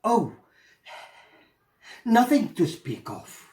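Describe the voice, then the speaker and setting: A man's voice: high voice, wildly swooping pitch, very slow, darkish tone, full character voice. A man with an Iraqi Arabic accent playing a soulful, remorseful merchant in dramatic, theatrical storytelling. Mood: evasive, baffled. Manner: dramatic, lamenting. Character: grieving, remorseful male merchant, bird owner. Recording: echoey room.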